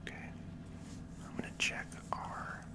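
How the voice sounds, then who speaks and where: A person whispering relaxed, unhurried ASMR commentary while playing a video game. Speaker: video game player and channel host.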